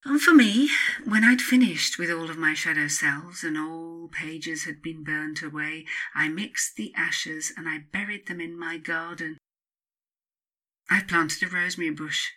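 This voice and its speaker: A woman narrating a spiritual self-help book as its author, in a solemn, deliberate, incantatory way. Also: deep voice, pushed and bright. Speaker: author and podcast host reading their own book.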